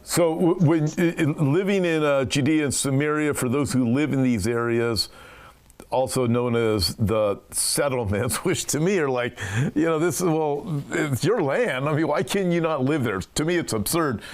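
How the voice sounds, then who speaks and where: A man's voice: fairly high pitch, normal speed. A man, a pastor hosting a show, asking long earnest questions full of fillers. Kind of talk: interview conversation.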